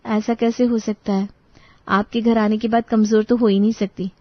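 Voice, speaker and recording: A woman playing a polite but exasperated young daughter-in-law in a dramatized story, expressive in the way she speaks. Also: medium pitch, moderate pace, dry recording, muffled recording, close to an everyday voice. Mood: protesting politely. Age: young adult.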